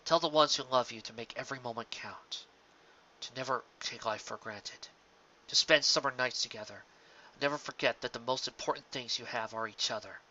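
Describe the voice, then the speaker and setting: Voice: medium pitch, moderate pace, sharp tone, pitched below the performer's own voice. A story reader voicing a remorseful, grieving male creature with a subdued, solemn delivery. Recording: dull lo-fi sound.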